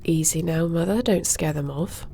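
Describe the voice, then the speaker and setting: A young warrior princess, acted by a woman in a fantasy audio roleplay. Her voice is lowish with a gravelly creak, and she speaks in a measured, formal, dutiful way over faint ambient noise. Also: mellow. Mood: composed, polite. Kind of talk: audio roleplay fantasy acting, addressing listener.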